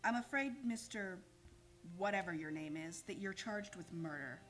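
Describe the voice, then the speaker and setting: A woman playing a grave, formal police lieutenant with measured official delivery in an old-time radio play. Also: on the low side, fairly bright tone, close to an everyday voice. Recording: studio radio play with music behind.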